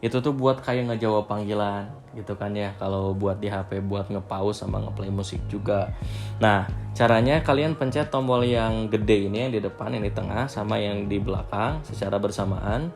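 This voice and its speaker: A man's voice: fairly low pitch, fairly even intonation, normal speed, gravelly, darkish tone, faint music behind. A man giving a casual, off-the-cuff product review, hesitating often with filler phrases. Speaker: vlog host reviewing gear.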